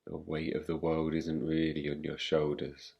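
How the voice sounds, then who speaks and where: A man guiding a meditation in a calm, gentle, unhurried manner, leaving long pauses between phrases. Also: extremely low pitch, gravelly, slightly rough, dull audio. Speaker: meditation guide. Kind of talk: guided meditation, yoga nidra.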